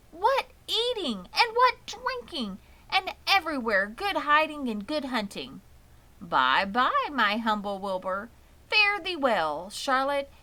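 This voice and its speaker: A woman's voice: high-pitched, bright, forceful tone, pitched above the performer's own voice, full character voice, low-quality recording. A woman voicing a greedy, sneering male rat in a storybook, with a gloating, theatrical delivery.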